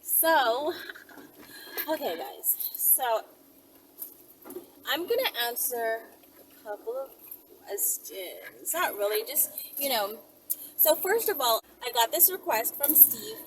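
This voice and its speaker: A woman's voice: fairly high pitch, very animated, takes it slowly, long pauses. A woman hosting a video, chatting informally and thinking aloud as she answers viewer requests with rhetorical questions. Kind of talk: casual rambling chat to camera. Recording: distant mic, loud vehicle and street noise.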